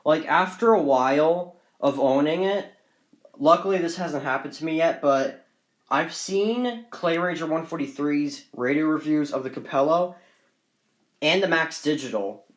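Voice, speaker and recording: A man's voice: a little high, measured pace. A man presenting his hobby collection in a casual, unscripted vlog, talking in a rambling, repetitive and enthusiastic way.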